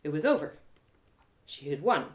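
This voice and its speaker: A woman performing a first-person adventure story as a live reading, dramatic and expressive. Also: deep voice, moderate pace.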